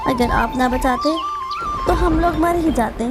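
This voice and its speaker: A woman's voice: high voice, unhurried, loud music behind the voice. A woman voicing an anxious mother bird in a cartoon, plaintive and pleading. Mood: grateful, distressed.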